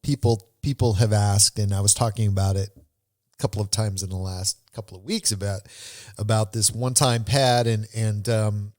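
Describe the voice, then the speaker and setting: A man hosting a podcast in a casual, conversational way, with a halting, rambling delivery. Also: on the low side, steady tone, a little mellow, no room sound, studio quality. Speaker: podcast host.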